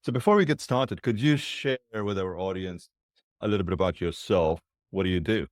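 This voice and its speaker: A man co-hosting a podcast, welcoming a guest and asking measured questions. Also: low-pitched, no echo, studio quality. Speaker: podcast co-host. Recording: intro over clear music.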